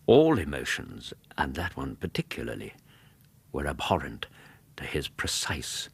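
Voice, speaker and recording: A very deep, husky English gentleman narrates a radio drama as a man recalling a dear friend. He is measured and reflective, with expressive rises and falls, in a dry close studio sound. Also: no room sound, full character voice. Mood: solemn, hushed. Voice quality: husky, low. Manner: measured, expressive, literary phrasing.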